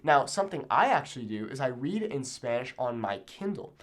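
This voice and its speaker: A man's voice: mid-range voice, sing-song, brisk. A man giving an instructional talk to camera as a language teacher, emphatic and often checking in with the learner. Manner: emphatic, checks in with "okay?" and "right?".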